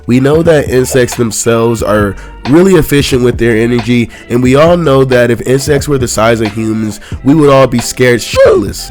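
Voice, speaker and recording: A young man with a slightly congested voice, recapping a manga chapter in casual, conversational commentary with quick exclamations. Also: mid-range voice, darkish tone.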